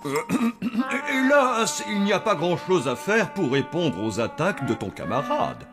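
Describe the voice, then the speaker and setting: A man's voice: high voice, big pitch swings, unhurried. A man playing a hesitant, evasive zombie father who hems and haws in formal language. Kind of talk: French cartoon dub. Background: background music.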